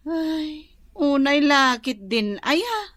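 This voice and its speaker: A woman's voice: sits high, sing-song, slow, a bit bright, dry recording, clean studio sound, exaggerated voice. An actress playing a caring, thrifty older sister in an Ilocano radio drama, drawing out her words in plaintive admonishment. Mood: plaintive, chiding.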